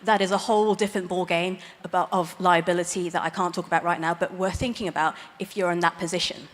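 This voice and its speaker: A woman's voice: lowish voice, steady tone, fast talker. A woman lawyer giving a conference talk, explaining legal ideas plainly with light, self-aware humor. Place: large conference hall stage. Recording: long reverb of a big hall.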